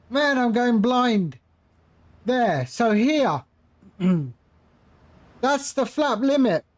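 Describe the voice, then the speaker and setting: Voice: medium pitch, a little mellow. A flight simulator streamer giving casual live commentary, patiently explaining and repeating phrases while chatting with viewers.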